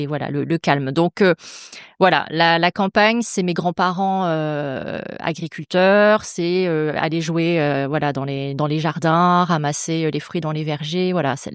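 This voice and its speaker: A woman's voice: sits low, clean tone, no echo. Hesitant woman prosecutor, dry close sound. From France, Normandy. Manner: thoughtful, hesitant, many fillers and restarts. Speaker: public prosecutor, podcast guest. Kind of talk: casual interview talk.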